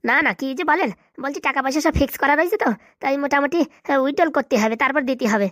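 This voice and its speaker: A man's voice: very high-pitched, racing, bright, forceful tone, dry recording, clean studio sound. A man voicing an anxious, reluctant young husband in a comedy cartoon, fretful and evasive with his father-in-law.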